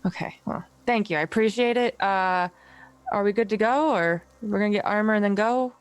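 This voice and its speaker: A woman playing a character in a tabletop game, talking in quick, jumpy, anxious bursts. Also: mid-range voice, smooth, no room sound, rough recording. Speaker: player.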